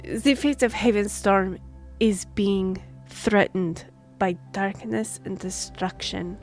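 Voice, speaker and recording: A woman's voice: mid-range voice, close to an everyday voice. A woman playing a formal, courteous and firm half-elf envoy in a fantasy audio drama. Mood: grave. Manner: formal, measured, diplomatic. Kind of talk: scripted fantasy audio roleplay.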